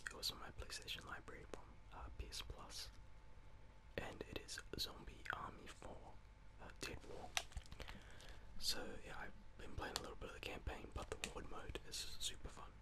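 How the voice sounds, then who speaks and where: A young man giving rambling, casual, self-deprecating ASMR gameplay commentary in a breathy whisper, close to the microphone. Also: moderate pace. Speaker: gaming video host. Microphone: close microphone, voice-over on gameplay.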